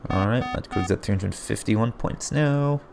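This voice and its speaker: A man casting a strategy game replay as play-by-play commentary, his voice hoarse and getting raspy, often breaking into surprised exclamations. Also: fairly low pitch, unhurried, over music. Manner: excitable, frequent surprised exclamations. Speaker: game caster.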